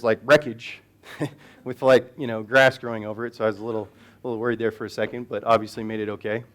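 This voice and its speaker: Chatty American man giving a conference talk. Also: mid-range voice. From United States. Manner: conversational, with many fillers and restarts. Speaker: conference speaker. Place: conference hall.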